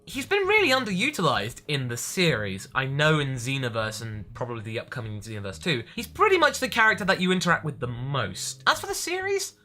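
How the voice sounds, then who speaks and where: A man with a bright, forceful voice speaks in a casual vlog style. His pitch moves around a lot as he talks. He comes across as an enthusiastic online host answering questions from his audience. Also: brisk, hardly any pauses. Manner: enthusiastic, animated. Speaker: online vlogger.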